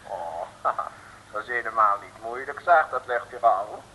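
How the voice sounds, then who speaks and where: An actor playing a silly, fussy naval officer, nonchalant and whiny, in a comic old radio serial. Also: male voice, fairly low pitch, lively intonation, unhurried, pushed and bright, slightly rough, heavily acted. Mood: self-satisfied. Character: silly, fussy male naval desk officer, breezily unhelpful. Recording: old radio recording, long reverb.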